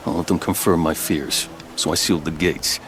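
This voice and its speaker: A man playing a gruff, pragmatic frontier guard captain in a video game, with a wry, matter-of-fact manner. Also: sits low, fairly even intonation, normal speed, a little hiss or hum, natural voice. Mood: wry, businesslike. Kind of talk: scripted video game drama acting.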